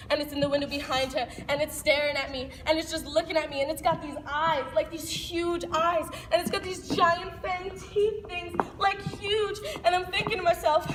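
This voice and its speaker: A woman acting an excitable, streetwise young city girl in live theater, with animated, slangy, dramatic delivery. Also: sits high, normal speed, natural voice. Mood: panicked awe. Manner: animated, slangy, breathless dramatic build-ups. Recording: noisy echoey hall, distant mic.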